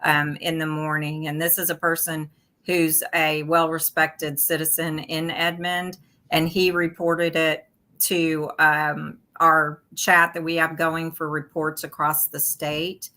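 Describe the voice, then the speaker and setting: A woman with a low-pitched, bright, forceful voice. She is stuffed up from allergies and explains rules in a steady, methodical way. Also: takes it slowly. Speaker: guest. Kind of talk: election talk show discussion.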